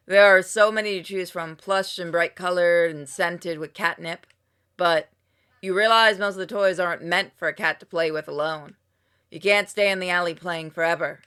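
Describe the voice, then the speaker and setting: A woman reading a story game aloud in an expressive narration, acting out exclamations and asides playfully. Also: lowish voice. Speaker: gamer reading a visual novel aloud on a playthrough. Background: music with plucked guitar.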